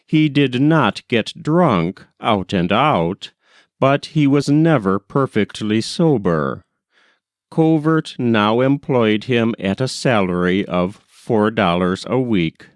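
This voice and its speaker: A man narrates a literary tale at an unhurried pace. His pitch moves freely through each sentence, and the recording is close and dry. The delivery is measured and scripted, like an audiobook. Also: lively intonation, no room sound. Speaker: audiobook reader.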